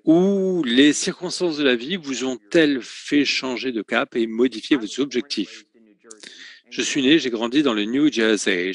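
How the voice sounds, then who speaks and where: A man in a mid-range voice voices a talk in French as an interpreter. His delivery is measured and steady, broken now and then by filler sounds and quick self-corrections as he finds the right word. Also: medium pitch. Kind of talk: French voice-over of a conference talk.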